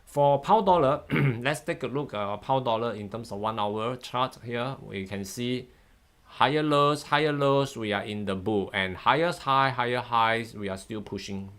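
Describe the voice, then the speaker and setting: A man's voice: medium pitch, unhurried, fairly bright tone. A man in his fifties, a native Mandarin speaker, running a live trading lesson in a chatty, upbeat way, often laughing and thanking viewers. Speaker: forex trading educator. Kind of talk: live-stream chart analysis.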